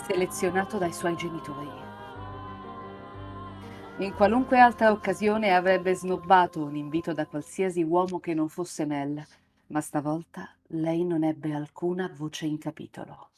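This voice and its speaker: A woman with an Italian accent narrates a scripted story in a live reading. Her voice sits slightly low, over faint music. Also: steady tone, moderate pace, darkish tone.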